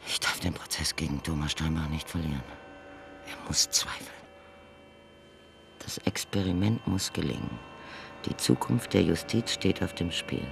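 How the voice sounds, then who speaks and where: An actress playing a cool, ambitious state prosecutor in a German radio drama, formal and guarded. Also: female voice, a bass voice, lively intonation, normal speed, gravelly, long pauses, background noise, heavily acted. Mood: driven. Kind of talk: German radio drama acting, dialogue and dictated reports.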